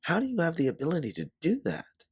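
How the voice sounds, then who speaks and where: A man reads as a young woman in an audio story. She is gentle and inquisitive, and her pitch rises and falls freely. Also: wildly swooping pitch, fast, slightly rough, heavily acted. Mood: curious. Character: curious, gentle young woman offering shelter.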